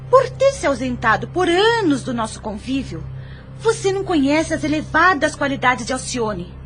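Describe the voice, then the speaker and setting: A woman's voice: high-pitched, fairly bright tone. A Brazilian woman playing a spirited, principled young niece in an audio drama, formal, firm and earnest.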